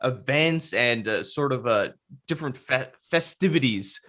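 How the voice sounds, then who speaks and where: An interview host asking questions in a halting way with many fillers. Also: medium pitch, expressive, a bit bright.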